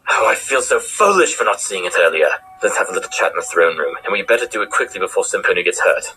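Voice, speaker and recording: A man voicing a shrewd, smug cartoon pony detective with a theatrical, deliberate way of explaining things. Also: low-pitched, lively intonation, sharp tone, a little hiss or hum, rough recording. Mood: brisk confidence. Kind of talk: fan cartoon voice acting.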